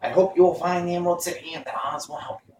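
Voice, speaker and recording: A man voicing a kindly, brisk female stork in a storybook reading. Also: sits high, voice pushed up, natural voice. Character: kindly female stork, helpful talking bird. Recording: roomy, distant, faint noise.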